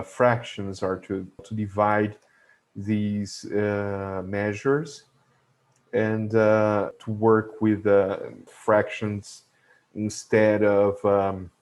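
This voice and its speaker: A man with a Brazilian Portuguese accent talks through a lesson at an unhurried, halting pace. His voice sits fairly low, and he pauses often to search for words. He chuckles now and then at his own remarks. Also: a little mellow. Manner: hesitant, many filler sounds and restarts, chuckles to himself. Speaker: logistics educator. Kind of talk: explanatory video lesson.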